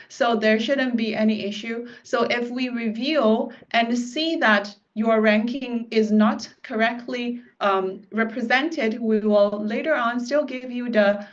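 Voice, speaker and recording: Slow-talking woman hosting an online quiz. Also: on the high side. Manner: relaxed and chatty, with many fillers. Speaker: quiz host. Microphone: video call. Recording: dull lo-fi video call audio.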